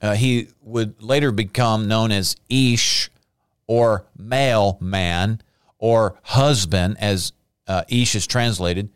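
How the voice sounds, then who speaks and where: A man's voice: lowish voice, fairly even intonation, takes it slowly, clean tone, dry recording, clean studio sound. A man teaching from the Bible in a sermon, explaining Hebrew words with emphatic bursts. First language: not Hebrew.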